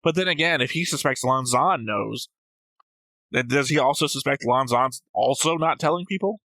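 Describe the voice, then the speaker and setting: A man's voice: medium pitch, normal speed, sharp tone, no room sound. A man co-hosting a reaction show, arguing through story theories in an analytical, casual way with some swearing. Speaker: host of an anime reaction show. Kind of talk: casual debate about a show.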